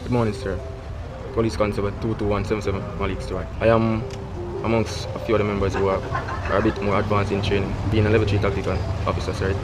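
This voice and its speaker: A man, a police constable, giving a formal report and addressing his superior as sir. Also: fairly low pitch.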